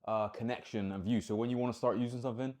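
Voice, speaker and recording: A man talks fast on a casual podcast, keen and quick to agree. He jumps straight into the next point as he speaks. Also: medium pitch, steady tone, darkish tone. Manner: quick, eager, agrees often. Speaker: podcast co-host. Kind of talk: casual chat.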